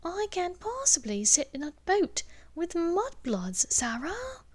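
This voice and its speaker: A woman's voice: very high voice, wildly swooping pitch, slow, a little mellow, pitched above the performer's own voice, heavily acted. A woman voicing a snobbish, prissy little girl in an audiobook, haughty and complaining. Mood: haughty disgust.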